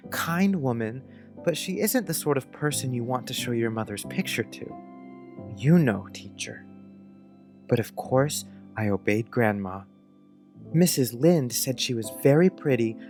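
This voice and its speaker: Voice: medium pitch, close to an everyday voice. An actor playing an earnest, precocious young schoolboy who chats dreamily in quaint grown-up phrases, in a dramatized audiobook. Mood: earnest, confiding. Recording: music under the voice.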